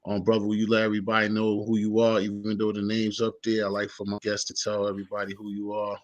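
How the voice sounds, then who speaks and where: A man hosting an interview, asking laid-back, halting questions and often saying "man". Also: on the low side, monotone, lo-fi sound. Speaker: interview host. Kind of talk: casual interview chat.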